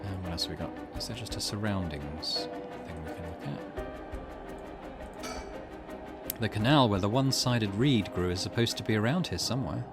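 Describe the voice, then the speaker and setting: A man hosting a let's play, reading a visual novel aloud and thinking out loud in between. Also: lowish voice, normal speed, long pauses. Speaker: gaming channel host. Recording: music under the voice. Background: game music.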